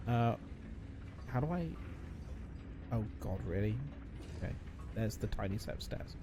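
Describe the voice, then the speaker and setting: A man's voice: on the low side, lively intonation, measured pace, noisy background. Wry male streamer joking along. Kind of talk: casual game commentary.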